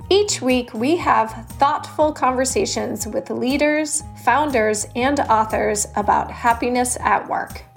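A woman with a high voice hosts a podcast interview. She welcomes the guest and asks questions in an upbeat, friendly way. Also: takes it slowly, creaky, hardly any pauses, soft background music. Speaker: podcast host.

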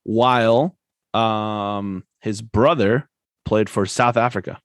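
A man co-hosting a casual sports podcast, relaxed and chatty, laughing often and filling pauses with "um". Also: lowish voice, no echo, clean studio sound. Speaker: podcast co-host.

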